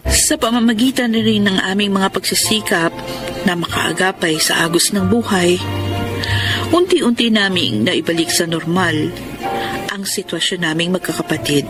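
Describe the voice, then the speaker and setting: Earnest, sorrowful Filipino daughter played by an actress, music beneath. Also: female voice, on the low side, normal speed, natural voice. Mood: grateful, hopeful. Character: grieving, dutiful eldest daughter, respectful and hopeful. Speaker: lead actress and narrator in a radio drama. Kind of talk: Filipino radio melodrama acting. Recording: music under the voice.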